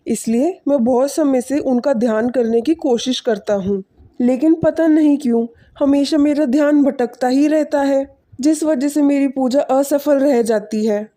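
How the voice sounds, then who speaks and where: A woman voicing a kindly, lonely older village man in an animated moral story, earnest and fatherly. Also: high-pitched, unhurried. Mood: troubled, earnest.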